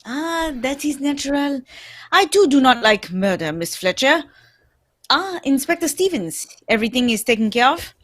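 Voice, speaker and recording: Female performer, high-pitched gentleman detective, shrewd, theatrical, radio mystery, dry recording. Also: very animated, moderate pace, dry recording. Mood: courteous, assured. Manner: theatrical, explanatory, punctuated with "eh?". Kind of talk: old-time radio mystery acting.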